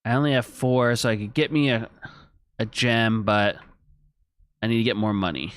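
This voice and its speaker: A man's voice: fairly low pitch, normal speed, clear, resonant tone, no room sound, studio quality. A man streaming a video game, thinking aloud, chatting with his viewers and reading on-screen text as he plays. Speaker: gaming streamer. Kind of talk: casual let's-play commentary.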